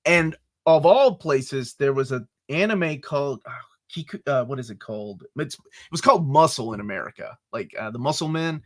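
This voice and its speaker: A man in his forties from Peru hosting a casual interview, excitable and joking, often jumping up in pitch when animated. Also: normal speed. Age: 40s. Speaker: podcast host.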